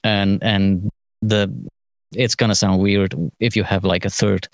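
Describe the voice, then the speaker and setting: A middle-aged man thinking aloud through an informal studio tutorial, halting and musing, with trailing phrases. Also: fairly low pitch, level tone, no room sound. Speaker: mixing engineer giving a lesson. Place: recording studio, seated at desk.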